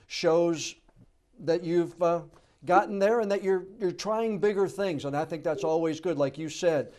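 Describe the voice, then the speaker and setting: A man hosting a podcast, asking interview questions and reading a closing outro at a measured pace, with occasional stammered restarts. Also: on the high side, darkish tone. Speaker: podcast host.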